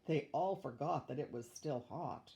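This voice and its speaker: An older woman reading a rhyming children's story aloud in an expressive, measured way. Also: extremely low pitch. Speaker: storybook reader, retired teacher.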